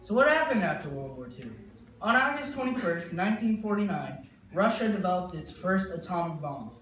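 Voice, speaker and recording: A man's voice: sits high, big pitch swings, normal speed, fairly bright tone, a little hiss or hum. A young man presenting a mock 1950s newscast as its anchor, with a hammy, folksy delivery. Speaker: news anchor. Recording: echoey room with music behind.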